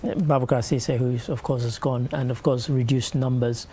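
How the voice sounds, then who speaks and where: A man hosts a weekly news review in a mellow, warm voice. He thinks aloud, stopping and restarting with ums and uhs. His pitch sits in the middle range. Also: steady tone, normal speed, slight background noise. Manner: thoughtful, hesitant with many fillers. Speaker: host. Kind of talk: studio discussion.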